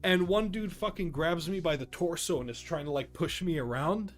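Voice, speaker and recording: A young man in casual, animated, slangy chat, swearing freely as he tells stories. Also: on the high side, moderate pace, soft background music. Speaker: podcast host.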